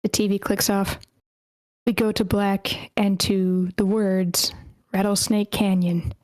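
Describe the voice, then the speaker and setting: Woman reading screenplay directions in an even tone. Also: mid-range voice, dry recording, low-quality recording. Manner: measured, slightly stumbling reading. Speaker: stage directions reader. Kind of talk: screenplay table read narration. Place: online meeting.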